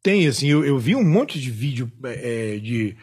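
A man from Salvador, chatting on a podcast about films and ranting in a lively, slangy, profane way. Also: medium pitch, normal speed, breathy edge. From Salvador, Bahia, Brazil. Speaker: film critic, podcast panelist. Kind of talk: casual podcast chat.

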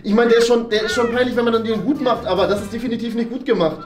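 Fast-talking young man, curt and mocking. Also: extremely high pitch, steady tone. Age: 20s. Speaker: skit performer in a shared-flat video series. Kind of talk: casual comedy skit banter. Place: small indoor rooms of a flat. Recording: roomy, distant camera sound. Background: music.

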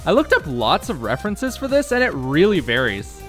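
A young man narrates a scripted vlog in a fairly high voice. His delivery is lively and wry, poking fun at himself. Music plays behind him. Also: moderate pace. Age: young adult. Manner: wry, self-deprecating humour. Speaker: video creator and game developer.

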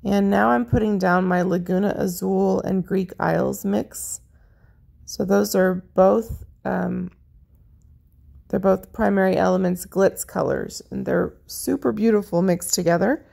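A woman narrating her paint pouring video in a chatty, friendly way, her voice a little raspy. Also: medium pitch, a little slow, dark tone. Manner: chatty, friendly, lists colors as she works. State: slightly raspy voice. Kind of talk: casual how-to narration over craft footage.

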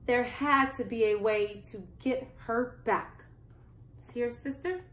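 Slow, high-voiced woman reading a storybook. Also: a bit bright. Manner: simple explanatory asides, questions to young listeners. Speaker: storytime reader for children. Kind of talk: reading a picture book aloud, with asides to children. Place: small plain-walled room indoors. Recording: roomy, echoing home recording.

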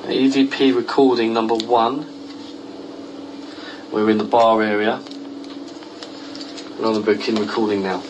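A man's voice: on the low side, level tone, measured pace, long pauses, far from the mic, highs cut off, background noise, close to an everyday voice. Man as an earnest ghost hunter, off-mic. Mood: earnest, calling out. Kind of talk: audio drama acting.

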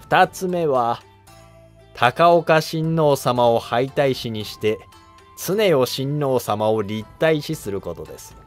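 An actor playing a humble, cautious monk who advises an emperor in formal, deferential court speech in a historical drama. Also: male voice, mid-range voice, slow, soft background music, natural voice. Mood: calm, advising. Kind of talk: Japanese historical drama dialogue.

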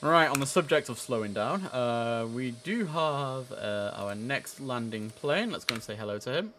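A man's voice: medium pitch, lively intonation, normal speed, background noise. A man in his thirties giving casual live game commentary, chatty, switching between asides and formal controller calls. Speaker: streamer playing an air traffic controller. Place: indoor room, live stream.

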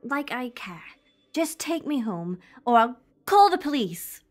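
A high-pitched young woman's voice in a sci-fi audio drama, performed by an actress. She is a stubborn, disillusioned companion to a time traveller. She answers back bluntly and holds her ground. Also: sing-song, a little slow. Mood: angry, defiant.

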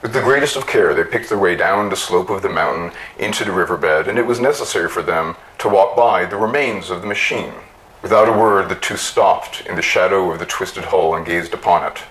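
A middle-aged man reading a story aloud in a theatrical, deliberate manner. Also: low voice, a bit bright, a little hiss or hum, rough recording. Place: small room with bookshelves.

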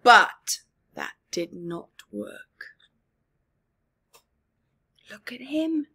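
Expressive, husky woman storyteller. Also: medium pitch, very slow. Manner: expressive, emphatic. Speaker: storyteller for children. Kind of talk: children's read-aloud with commentary. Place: small living room, indoors.

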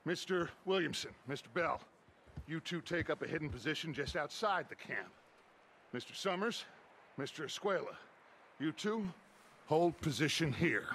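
The voice of a western game's gang boss, a raspy American outlaw pitched a little high, handing out orders like grand speeches to his riders. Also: animated, a bit bright, slight background noise. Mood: commanding. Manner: deliberate, authoritative, theatrical. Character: commanding, eloquent middle-aged male outlaw gang leader. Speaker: actor playing a gang leader. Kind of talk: cinematic western video game acting.

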